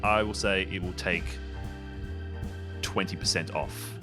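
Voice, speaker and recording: A man working as game master, casually improvising narration for a tabletop game in a halting, thinking-aloud way. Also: sits low. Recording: music behind the voice.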